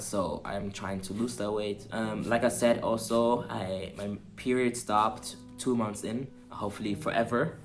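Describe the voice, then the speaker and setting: Low-voiced young man chatting casually. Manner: relaxed, rambling, many fillers and restarts. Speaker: vlogger. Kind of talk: casual personal update to camera. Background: soft guitar music.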